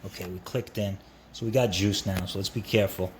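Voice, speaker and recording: A low-pitched male voice with clear background noise around it. He hosts a casual repair tutorial indoors, speaking informally and often repeating phrases as he explains each step. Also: steady tone, brisk. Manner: informal, repeats himself, talks viewer through steps. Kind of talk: casual hands-on how-to narration. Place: indoors at a workbench.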